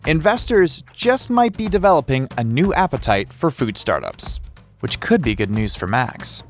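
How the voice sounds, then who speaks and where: A male podcast host narrates in a scripted but conversational style. His pitch moves around a lot, giving the lines a lively bounce. Faint music plays underneath. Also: normal speed.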